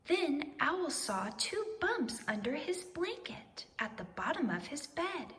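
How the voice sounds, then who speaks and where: Female storybook reader, higher-pitched and very animated, deliberate dramatic phrasing for young listeners. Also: big-room sound. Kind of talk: expressive children's read-aloud narration.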